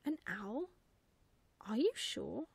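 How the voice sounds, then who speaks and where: A woman's voice: a little high, sing-song, slow pace, vocal fry, husky, no echo, heavily acted. A woman voicing a proud, bossy little girl in a storybook, explaining things in an emphatic, matter-of-fact way. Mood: surprised.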